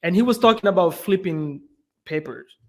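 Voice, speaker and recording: Animated higher-voiced man from Belgium. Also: moderate pace. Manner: animated storyteller, many fillers like "you know" and "right". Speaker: guest. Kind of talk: casual interview talk.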